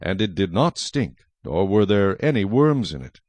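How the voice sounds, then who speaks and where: A man narrating scripture from a script as a solemn, measured storyteller. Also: low-pitched, big pitch swings, darkish tone, dry recording.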